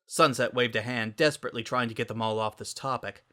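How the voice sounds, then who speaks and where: A narrator reads a scripted story in a dramatic style. The voice is bright and forward, at a medium pitch. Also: fairly even intonation, quick pace.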